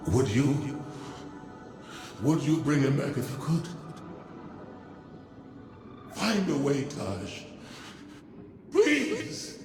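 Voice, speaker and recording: An actor playing an anguished father who begs in a raw, halting, beseeching way in a dramatic game scene. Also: mid-range voice, huge pitch swings, reverberant, over music, full character voice. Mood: desperate, pleading. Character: anguished father pleading desperately for his son. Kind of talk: intense dramatic game acting.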